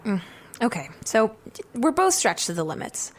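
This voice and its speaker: A woman's voice: fairly high pitch, sing-song, normal speed, slight background noise. A young woman playing an earnest, self-doubting drama student in an audio drama.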